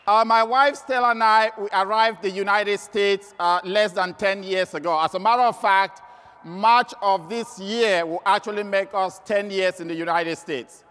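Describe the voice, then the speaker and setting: A man's voice: high voice, moderate pace, fairly bright tone, clean tone. A man with a Cameroonian accent giving an emphatic motivational business training speech, repeating words for stress. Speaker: sales trainer and motivational speaker. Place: large indoor event hall. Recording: echoey hall, long reverb.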